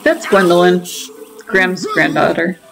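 A woman's voice: on the low side, sing-song, a little slow, creaky, background noise. A woman hosting a gaming channel, giving chatty, explanatory commentary as she plays. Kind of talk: casual game commentary. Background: faint game music.

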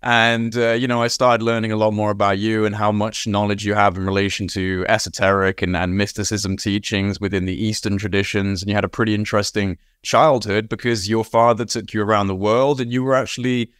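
Host, man, 30s; relaxed and conversational, smooth clear voice, dry close webcam sound. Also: on the low side, brisk, few pauses, no room sound. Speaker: podcast host. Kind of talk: conversational interview. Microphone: video call webcam.

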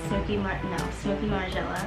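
A woman's voice: mid-range voice, level tone, fairly quick. A young woman hosting a video with casual, friendly chat. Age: young adult. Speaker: video host. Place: small room indoors. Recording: roomy echo with music behind.

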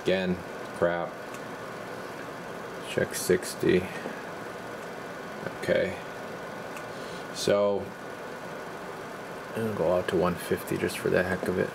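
A man with a low, gravelly voice casually talks through a hands-on repair as a technician, plain-spoken and thinking aloud. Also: flat delivery, takes it slowly, pauses often, background noise. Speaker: radio repair technician presenting his work.

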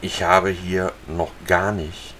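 A man casually talking viewers through a fishing video game, relaxed and chatty. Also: low voice, slow, slight background noise. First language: German. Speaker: gaming channel host. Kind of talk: casual let's-play commentary.

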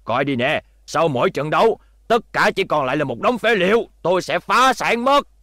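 Scheming greedy fight boss, creaky-voiced. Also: male voice, fairly high pitch, lively intonation, normal speed, sharp tone, dry recording, clean studio sound. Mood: irritated. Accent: Southern Vietnamese. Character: greedy, scheming, burly middle-aged fight promoter. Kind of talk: dubbed cartoon acting.

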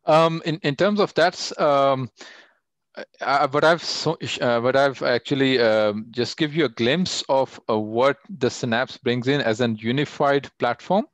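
A man's voice: medium pitch, unhurried, dry recording. A man answering technical questions over a video call, talking with many fillers and restarts. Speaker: guest speaker.

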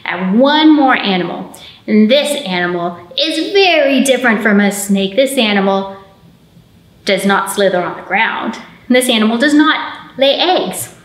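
A woman in her thirties speaking to young children as a storytime host, in an animated, encouraging way with drawn-out emphasis. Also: on the high side. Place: indoor library storytime room. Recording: roomy indoor echo.

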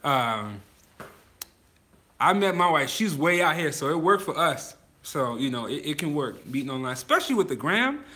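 A man's voice: a little high, very animated, normal speed, bright, forceful tone. A man in his thirties from Virginia, hosting a casual livestream from a photo studio on a phone held at arm's length, often laughing and reading viewer comments aloud. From Virginia, USA. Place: photo studio in a garage, indoors.

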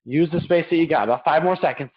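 A young man with a notably high voice coaches exercise while doing it himself. He gives short, repeated cues with steady encouragement. The sound is slightly dull and lo-fi, like a webcam in a small home gym. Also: quick pace. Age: young adult. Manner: physically active while talking. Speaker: fitness coach. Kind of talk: instructional workout coaching with counted cues.

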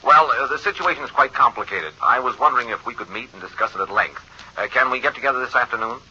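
An actor playing a brisk, polite insurance adjuster in a 1950s radio drama, formal and hurried, through a telephone filter. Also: male voice, medium pitch, pushed and bright, slightly rough, full character voice. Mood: polite, urgent. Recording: thin, muffled old radio phone line.

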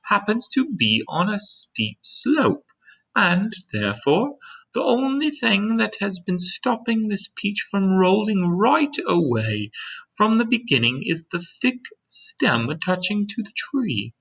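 A man voicing a kind, gentle female ladybug from a storybook, who talks in a grand, reassuring, wordy way. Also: high voice, sing-song, takes it slowly, breathy edge, pitched above the performer's own voice, heavily acted, poor audio. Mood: explaining. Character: kind gentle motherly ladybug, excited and talkative. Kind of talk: storybook read-aloud character voice.

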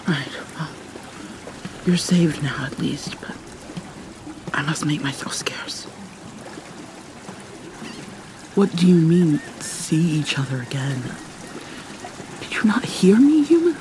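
Woman acting a brooding, slow-spoken vampire mermaid. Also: deep voice, expressive. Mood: guarded, sharp. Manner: halting, formal, slipping into whispers. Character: brooding, guilt-ridden female vampire mermaid, prickly but caring. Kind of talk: ASMR roleplay acting. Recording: noisy ambience with water sounds.

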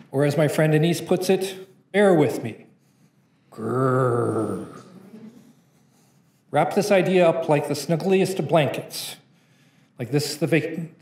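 A man's voice: a little high, a little mellow, echoey room. A young man performing a spoken-word poem at a conference, reading from notes with a rhythmic, playful delivery. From Canada. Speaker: poet. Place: conference room.

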